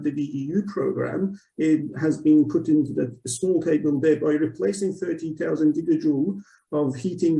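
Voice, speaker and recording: A man gives a technical webinar talk in a very mellow, gravelly voice. He feels his way haltingly through each point. The sound is roomy, as if the microphone sits some way off. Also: on the high side. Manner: halting, hesitant delivery. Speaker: webinar presenter. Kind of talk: technical presentation. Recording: roomy echo, distant microphone.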